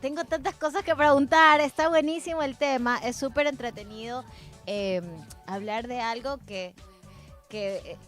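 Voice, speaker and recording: A woman's voice: high-pitched, moderate pace, a bit bright. A woman hosting a casual, chatty conversation about everyday things. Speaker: podcast host. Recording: music playing behind the voice.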